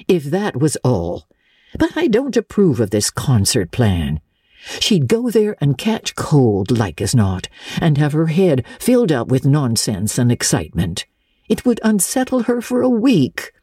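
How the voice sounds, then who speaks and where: A woman's voice: very deep voice, dark tone, slightly rough, no echo, clean studio sound, heavily acted. A woman playing a stern, disapproving older farm woman in a storybook reading, with a tart, scolding, no-nonsense manner.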